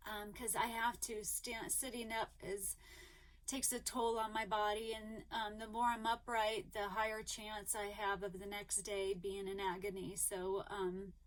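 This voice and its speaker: A woman talking casually to her viewers as a crochet vlogger, rambling and upbeat with lots of ums and restarts, her voice a little hoarse. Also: medium pitch, flat delivery, measured pace, rough recording. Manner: eager and upbeat. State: slightly hoarse, losing her voice.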